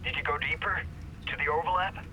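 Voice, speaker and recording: A man's voice: fairly high pitch, a little fast, lightly acted. An actor playing a cryptic, insistent male ally on a telephone call in a video game, urgent and probing. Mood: eager, relieved. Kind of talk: dramatic game dialogue. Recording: telephone call with faint noise.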